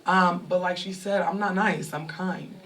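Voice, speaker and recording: A woman in her thirties from California talks openly to a live audience at an event. She is animated and often breaks into laughter. Her voice sounds somewhat distant from the microphone. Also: fairly low pitch, measured pace. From Sacramento, California. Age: 30s. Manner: animated, laughing, loud bursts of excitement. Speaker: online creator speaking at fan event. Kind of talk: candid talk to a live audience.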